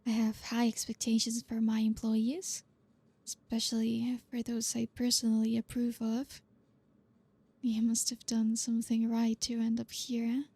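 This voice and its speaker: A woman's voice: a little high, monotone, measured pace, mellow, breathy edge, no room sound. A woman playing a flirty, teasing, dominant boss in an ASMR roleplay, speaking breathily and often slipping into a whisper. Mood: commanding, teasing.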